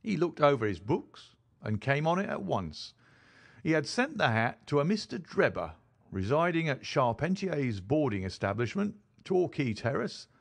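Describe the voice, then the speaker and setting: A man's voice: fairly high pitch, big pitch swings, moderate pace, gravelly, pitched above the performer's own voice, exaggerated voice. A man voicing a boastful, eager police detective recounting his case in a dramatised audiobook reading. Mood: proud, eager.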